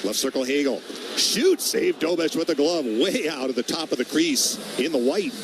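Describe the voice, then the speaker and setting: A man calling live hockey play-by-play on the radio, naming each move in clipped, rapid-fire phrases. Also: fairly high pitch, animated, noisy background. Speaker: play-by-play announcer.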